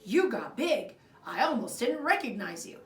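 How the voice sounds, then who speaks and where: A woman's voice: fairly high pitch, sing-song, normal speed. A woman voicing a friendly but nervous neighbor man in a storybook, with a hearty, over-friendly manner. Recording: roomy echo, distant mic.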